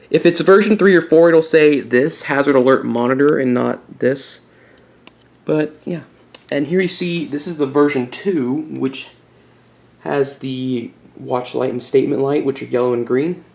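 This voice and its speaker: Casual man with a creaky voice. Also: medium pitch, darkish tone. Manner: casual, explanatory. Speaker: YouTube collector and host. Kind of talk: informal product showcase with buying tips.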